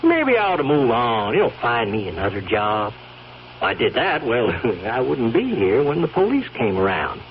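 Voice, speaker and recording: A wheedling stable hand with blackmail in mind, in old radio drama style. His folksy, insinuating talk rambles and swings widely in pitch. The recording is dull, with faint hiss. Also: huge pitch swings, normal speed. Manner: folksy, rambling, insinuating, chuckling drawl of hesitations.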